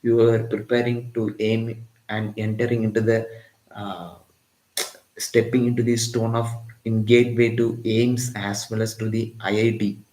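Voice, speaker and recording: Indian tutor, low voice, echoey room. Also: male voice, flat delivery, measured pace, a little mellow. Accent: Indian English. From Tamil Nadu, India. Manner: repetitive, keeps checking in with "got it?". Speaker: physics tutor. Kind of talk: live-streamed exam-prep lesson.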